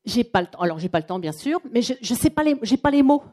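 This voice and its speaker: A woman presenting a live coaching workshop to a room of people, talking in a chatty, lively way and acting out what clients say. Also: a little high, fast. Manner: lively, chatty, acts out quotes. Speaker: trainer and coach. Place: training room with an audience.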